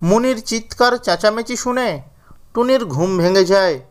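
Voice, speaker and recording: High-pitched man narrating a children's story. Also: animated, measured pace.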